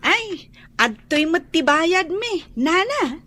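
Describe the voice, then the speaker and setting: A woman's voice: very high voice, big pitch swings, slow, sharp tone, a little hiss or hum, heavily acted. A woman with a Filipino accent playing a sassy, stubborn young housemaid in an Ilocano radio drama, cheeky and exaggerated, drawing out her words. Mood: cheeky, placating. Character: sassy, stubborn young female housemaid who talks back.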